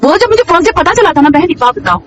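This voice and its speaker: A woman's voice: extremely high pitch, very animated, rapid-fire, recorded from a distance, muffled recording, slight background noise. A woman in a heated, informal phone conversation, speaking emphatically and repeating words insistently, on a call recording.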